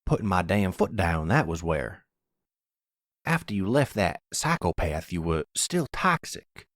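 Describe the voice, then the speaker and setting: A man's voice: deep voice, big pitch swings, unhurried, fairly bright tone, no room sound, studio quality, exaggerated voice. A man playing a domineering old grandfather who is a police officer, folksy, sardonic and scolding, in a roleplay audio drama.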